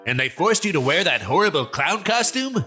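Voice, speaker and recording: A man voices an excitable know-it-all cartoon sidekick. He is high-pitched, sharp and animated, mocking and emphatic, with faint music underneath. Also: normal speed, heavily acted. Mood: eager. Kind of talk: cartoon voice acting.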